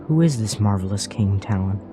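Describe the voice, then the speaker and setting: A man's voice: lowish voice, lively intonation, a little fast, music in the background, lightly acted. A man acting an earnest, troubled teenage boy in an audio drama, hesitant and questioning. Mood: awed, questioning.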